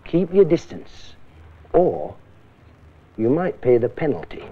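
A stern, middle-aged British schoolmaster lecturing a class. His gravelly voice swings widely in pitch as he questions and admonishes with blunt emphasis. The sound is thin and muffled, like an old public information film. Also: very animated, slow pace, heavily acted. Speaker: teacher.

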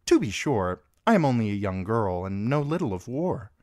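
Man doing a young queen, commanding, measured, lifted pitch, lively voice, dry sound. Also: dry recording.

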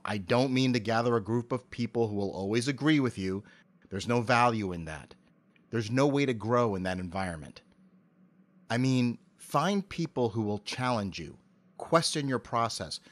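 A man speaking as an interview guest, giving prepared answers in an articulate, measured way. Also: lowish voice. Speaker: interview guest, amateur voice actor and animator.

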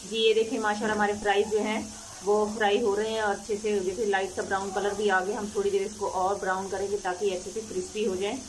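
A woman's voice: mid-range voice, little pitch movement, quick pace, slight background noise, low-quality recording. A middle-aged woman hosting a cooking channel, explaining each step of a home recipe patiently. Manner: patient, instructive, homely. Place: home kitchen.

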